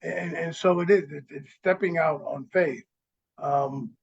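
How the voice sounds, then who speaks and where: A man, a guest on a radio show, speaking thoughtfully and haltingly with many restarts. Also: a little high, steady tone, vocal fry, husky. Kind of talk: reflective conversation. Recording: dull lo-fi line.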